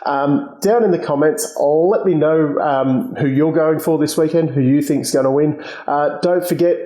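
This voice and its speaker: Male host, a bit hoarse, mellow and a touch high, hesitant solo commentary in an echoey room. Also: measured pace. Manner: hesitant, many ums and restarts. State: slightly hoarse. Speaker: motorsport channel host.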